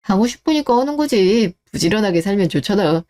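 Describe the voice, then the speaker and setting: A woman's voice: mid-range voice, animated, fairly quick, warm tone, natural voice. A woman voicing an easygoing, self-reliant husband and son in a scripted radio drama, casual and mildly defensive.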